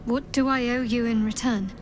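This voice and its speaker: An actress playing a guarded, devout young woman cleric in a fantasy video game, speaking in a measured, earnest, formal way. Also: fairly high pitch, fairly even intonation, background noise, lightly acted. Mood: touched, grateful. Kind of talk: video game character dialogue.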